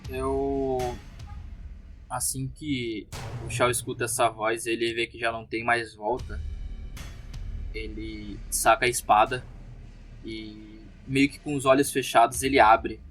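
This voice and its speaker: A man's voice: mid-range voice, flat delivery, takes it slowly, fairly bright tone, soft background music. A man with a Brazilian accent, a tabletop RPG player improvising a narration of his character's actions.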